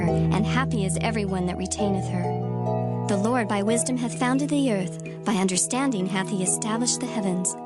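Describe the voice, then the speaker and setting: An actress playing a solemn narrator of wisdom sayings, reading scripture in a measured, reverent way with music behind her. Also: medium pitch, close to an everyday voice. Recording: loud music under the voice.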